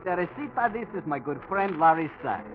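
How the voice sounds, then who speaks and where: An actor playing a warm, emotional pilot and protective friend, with a Spanish accent and expressive phrasing, in vintage radio drama style. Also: male voice, a little high, fairly quick. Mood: delighted, affectionate. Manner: expressive, gentle, theatrical phrasing. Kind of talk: 1940s radio drama acting. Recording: tinny, hissy old radio recording.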